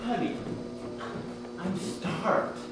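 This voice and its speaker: Actor as a grumpy husband, distant and echoey. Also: male voice, extremely slow. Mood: grumbling, impatient. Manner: blunt, complaining. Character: grumpy, hungry husband, impatient and put-upon. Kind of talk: stage acting in a one-act comedy. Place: theater stage, recorded from a distance. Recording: echoey stage, far mic, background noise.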